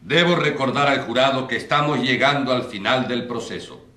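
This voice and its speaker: An actor playing a stern, formal presiding judge in a radio drama, solemn and authoritative, recorded in an echoey, noisy space. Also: male voice, mid-range voice, moderate pace, pushed and bright, lightly acted. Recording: echoey room with clear noise.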